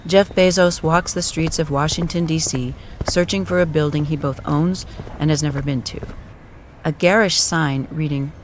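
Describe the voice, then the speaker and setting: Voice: mid-range voice, fairly even intonation, normal speed, slight background noise. A narrator delivering scripted dramatic narration in a solemn, mock-epic storytelling manner. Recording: music clearly behind the voice.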